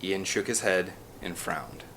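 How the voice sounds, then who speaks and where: A man's voice: sits low, unhurried, a bit bright, low-quality recording. A man reading a children's adventure novel aloud with an emphatic, theatrical delivery. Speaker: audiobook reader. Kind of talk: dramatic scripted narration of a children's adventure novel.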